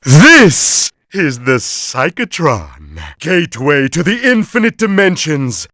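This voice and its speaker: A man's voice: fairly high pitch, very animated, slow, pushed and bright, dry recording, studio quality, exaggerated voice. A man voicing a pompous, short-tempered mad scientist villain with a nasal, theatrical delivery in a cartoon video game. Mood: grandiose scheming. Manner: pompous, melodramatic, florid diction. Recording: noisy, with cartoon sound effects.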